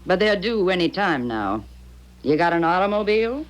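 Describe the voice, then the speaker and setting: An actress playing a crafty older aunt with a rural American accent in a radio drama, folksy and wary. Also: female voice, lowish voice, big pitch swings, normal speed. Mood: wary, decisive. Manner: folksy, plain-spoken, wary. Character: crafty rustic old aunt. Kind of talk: 1970s radio drama acting. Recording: dull old radio broadcast.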